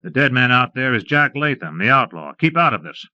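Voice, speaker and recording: A man's voice: medium pitch, very animated, fairly quick, sharp tone, no echo, pitched above the performer's own voice. Man reading as a stern, raised-voice Western lawman. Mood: firm warning. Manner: commanding, clipped statements. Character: stern, terse Texas Ranger lawman in a Western. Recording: dull lo-fi cassette transfer.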